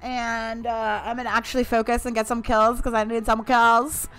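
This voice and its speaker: A woman's voice: a little high, level tone, moderate pace, a bit bright, clear, resonant tone, poor audio. A young woman streaming a mobile shooter, chatting casually with viewers and laughing often. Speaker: game streamer. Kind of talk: casual live-stream chat while playing.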